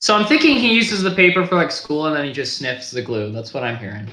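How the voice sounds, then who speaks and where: A man's voice: a little high, animated, quick pace, pushed and bright. A man chatting casually over an echoing voice chat, joking and heckling his friends with sarcasm.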